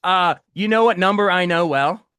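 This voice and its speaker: A man's voice: high voice, moderate pace, pushed and bright, clean tone, no echo, clean studio sound. A man around forty hosting a casual interview, chatty and eager, with an enthusiastic manner. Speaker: interviewer, host.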